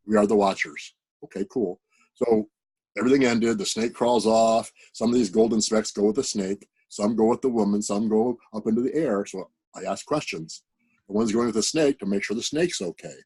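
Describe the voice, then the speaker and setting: A man's voice: on the low side, darkish tone, breathy edge. A man on a video call earnestly telling a personal spiritual story in a plain, direct way. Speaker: participant sharing a story.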